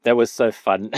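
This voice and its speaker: A man's voice: on the low side, a little mellow, no echo. A man from Zimbabwe, a guest on a podcast, chatting casually and enthusiastically, often laughing. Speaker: guest, film writer-director. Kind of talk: casual interview chat.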